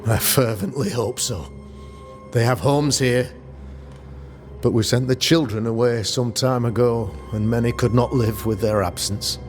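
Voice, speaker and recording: An actor playing a solemn, self-regarding older town governor in a video game, speaking in formal, measured, archaic phrasing. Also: male voice, medium pitch, natural voice. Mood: earnest, grave. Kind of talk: dramatic video game acting. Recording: music under the voice.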